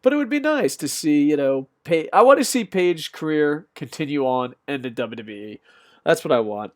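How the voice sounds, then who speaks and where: A young man with a slightly squeaky voice, rambling through off-the-cuff wrestling news commentary with lots of fillers and restarts. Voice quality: a bit squeaky.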